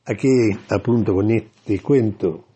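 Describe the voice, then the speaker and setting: A man hosts a radio show in a Rioplatense Argentine accent. His voice is mellow and somewhat rough-edged, and he speaks slowly. He unfolds a reflective monologue in a halting, deliberate way, pausing as he thinks. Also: lowish voice, highs cut off.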